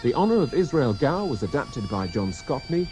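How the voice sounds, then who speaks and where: A man's voice: medium pitch, sing-song, normal speed, noisy background. A man announcing scripted radio drama credits in a formal broadcast manner.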